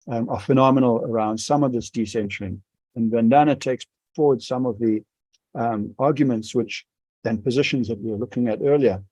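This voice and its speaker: A man from South Africa with a South African accent, leading an online workshop, speaking haltingly with many pauses and restarts. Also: medium pitch, dark tone, no echo, highs cut off. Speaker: school administrator leading a workshop. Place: home or office on a video call. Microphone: video call microphone.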